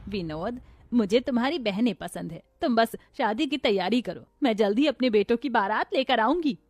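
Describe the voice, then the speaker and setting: A woman voicing a kindly, dignified older mother-in-law in a cartoon story, calm and measured. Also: a little high, no echo. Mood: kindly, composed.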